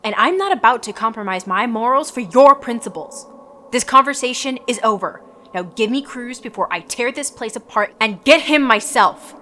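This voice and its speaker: Woman acting a tough, bright-voiced superheroine. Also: a little high, animated, moderate pace, a little hiss or hum. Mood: firm, skeptical. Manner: firm, defiant. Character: principled, tough female superhero, a battle-hardened war veteran. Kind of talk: radio drama acting.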